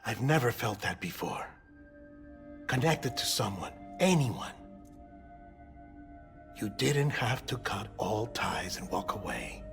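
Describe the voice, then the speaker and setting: An actor playing a melancholy, earnest lab-grown man in a cinematic video game, speaking with deliberate, confessional phrasing. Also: on the low side, sing-song, gravelly, fairly bright tone, heavily acted. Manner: deliberate, storytelling delivery. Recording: background music under dialogue.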